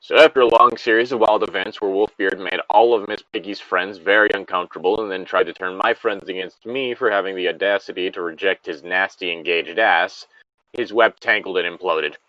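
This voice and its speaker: A man's voice: deep voice, a little fast, dry recording. A man reading a story aloud in a casual style as a co-host, with bantering asides. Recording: dull lo-fi close recording.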